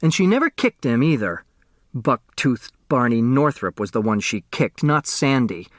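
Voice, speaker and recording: A man's voice: medium pitch, very animated, normal speed, no echo, clean studio sound. A man reading a children's mystery novel as scripted narration. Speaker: audiobook narrator.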